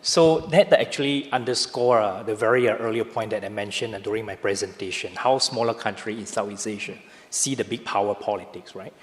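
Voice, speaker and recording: A man with a Malaysian accent speaking as a panelist, animated and peppered with hesitations and tag questions. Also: lowish voice, a little fast. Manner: animated, lots of filler uh, ends with right?. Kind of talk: panel discussion. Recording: reverberant hall.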